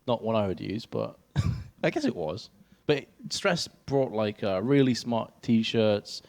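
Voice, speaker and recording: A young man with an English accent, his voice sitting a little low, casts an esports match from an event stage. He fills the dead time with self-mocking jokes and laughs easily. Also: expressive, takes it slowly, darkish tone. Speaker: esports caster. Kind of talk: casual caster banter.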